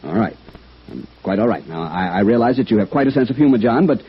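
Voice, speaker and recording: An actor playing a serious, fussy, greying insurance executive, earnest, formal and hesitant, in 1950s radio drama. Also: male voice, fairly low pitch, expressive, fast talker, slightly rough. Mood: flustered, earnest. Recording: muffled vintage radio recording.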